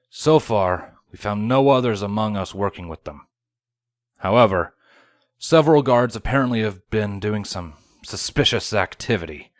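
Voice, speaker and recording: A man voicing a grave, dutiful guard officer with a formal, measured manner in a fantasy story reading. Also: lowish voice, no echo, clean studio sound, close to an everyday voice.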